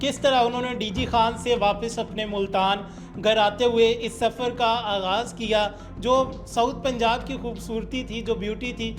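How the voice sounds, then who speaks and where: A man's voice: very high voice, fairly even intonation, measured pace, music in the background. A Pakistani man hosting a podcast interview, speaking politely and respectfully as he presents and asks questions. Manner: polite, addresses guest respectfully.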